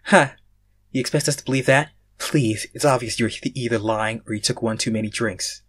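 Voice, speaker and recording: Storybook tactician, voiced by a male reader: dry, wary, teasing man with a husky tone. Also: medium pitch, fairly quick, fairly bright tone. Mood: skeptical.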